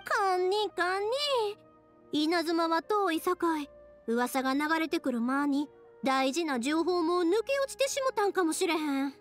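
A woman's voice: extremely high pitch, expressive, measured pace. A woman voicing a cheerful, eager, friendly young woman in an anime-style game, with a Kansai accent. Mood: apologetic, hopeful. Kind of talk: Japanese video game anime voice acting. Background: background music.

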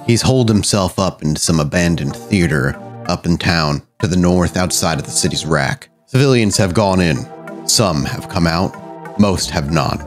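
Guy doing a deep commanding vampire prince for an rpg, slow and grave, faint music behind. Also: animated. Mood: grim. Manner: slow, formal, measured.

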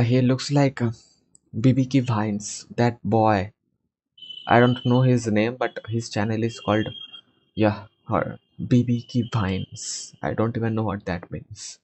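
A man's voice: medium pitch, fairly even intonation, takes it slowly, pauses often, darkish tone, poor audio. Hesitant young man on a headset. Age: 20s. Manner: hesitant, searching for words. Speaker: podcast host. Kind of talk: casual video-call chat. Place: small home room, indoors.

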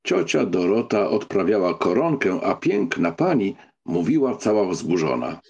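A man narrating a literary audiobook in an expressive, scripted storytelling style. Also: low-pitched, normal speed, gravelly, husky, highs cut off.

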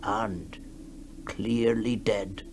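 A man acting a shaken, formal witness recounting horrors haltingly in a 1960s radio horror drama. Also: lowish voice, steady tone. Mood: grave, haunted. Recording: noisy 1960s radio recording.

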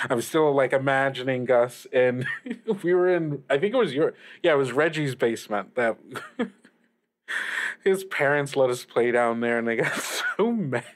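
A man's voice: mid-range voice, lively intonation, unhurried, natural voice. Man playing a rambling, chuckly radio DJ. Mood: cheerful, amused. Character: friendly young small-station radio DJ named Lil Harry. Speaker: radio host. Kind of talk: improvised casual radio call-in show.

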